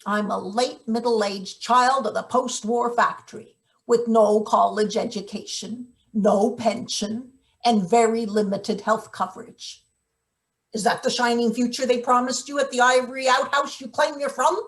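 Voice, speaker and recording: A woman's voice: fairly high pitch, fairly even intonation, unhurried. A woman playing a menacing, sardonic late middle-aged maintenance worker in a table read of a satirical play. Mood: bullying, bitter. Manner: sardonic, interrogating, theatrical threats.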